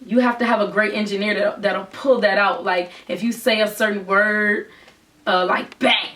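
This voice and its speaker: Casting: young woman, naturally raspy, earnest casual vlog talk, a bit off-mic over soft music. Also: medium pitch, steady tone, moderate pace. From Virginia, United States. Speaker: rapper and vlogger. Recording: voice from a distance with faint music.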